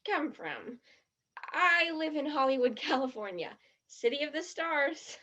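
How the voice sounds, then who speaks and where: A woman acting a shy, fibbing teenage tourist girl in a stage play, defensive and dreamy, with a husky, breathy voice. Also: sits high, very animated, measured pace, pushed and bright, highs cut off, heavily acted. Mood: coy, boastful.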